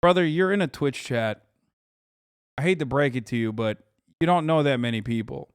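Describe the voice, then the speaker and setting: A man's voice: medium pitch, normal speed, clear, resonant tone, no room sound, clean studio sound. A man in his thirties streaming live, chatting casually and bluntly with his chat, swearing often. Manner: profane, blunt, joking with chat. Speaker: streamer reacting to videos.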